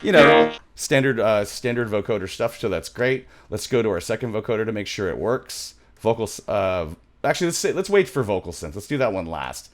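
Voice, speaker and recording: A middle-aged man presenting a music software tutorial in a casual, loose, thinking-aloud way, recorded on a studio mic in a small home room. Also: lowish voice, animated, a little fast, hardly any pauses. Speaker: music production tutorial host.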